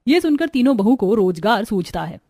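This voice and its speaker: Higher-pitched woman narrating a story. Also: very animated, fairly quick, mellow, husky. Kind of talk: scripted Hindi cartoon story narration.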